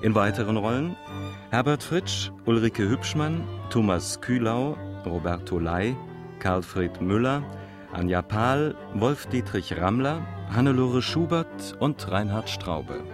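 A man's voice: fairly low pitch, expressive, slow pace. A man reading the closing credits of a radio play as a scripted announcement. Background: bowed string music, cello.

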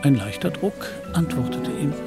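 A man's voice: a little high, lively intonation, normal speed. Male literary narrator over music.

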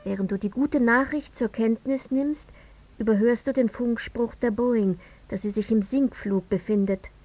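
A woman's voice: normal speed, darkish tone, lightly acted. A woman playing a stern inquisitor in a radio drama, recounting events in a clinical, precise, accusatory way. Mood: cool, relentless. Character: stern female inquisitor retelling a disaster to the dead controller. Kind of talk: scripted radio drama in second person. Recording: noisy with low hum.

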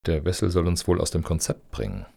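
An actor playing a candid, eager applicant competing for funding in a satirical radio play, polite and earnest. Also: male voice, sits low, very animated, fairly quick, creaky, mellow, breathy edge, full character voice. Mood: dry.

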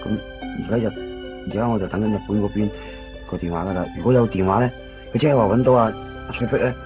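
A man's voice: fairly low pitch, fairly even intonation, quick pace, natural voice. A man with a Hong Kong Cantonese accent playing a nervous, apologetic, evasive friend in a radio drama. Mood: pleading. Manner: hesitant, stammering, pleading. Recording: dull, lo-fi 1990s radio recording with music.

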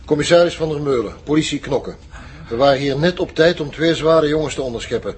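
Slight ambient noise: Flemish TV police chief played by a man, lightly raised pitch, plain-spoken, dryly amused. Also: brisk, natural voice. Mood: calm, dry. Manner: matter-of-fact. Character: matter-of-fact male local police commissioner, mildly amused. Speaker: police commissioner. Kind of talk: TV crime drama dialogue.

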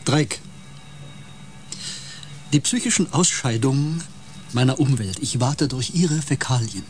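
A man's voice: mid-range voice, measured pace, faint music behind, lightly acted. Casting: male actor as bitter misanthrope empath, radio drama, medium register, sneering and insulting. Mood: disgusted. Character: hostile, misanthropic male empath on a space expedition. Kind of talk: German radio drama acting.

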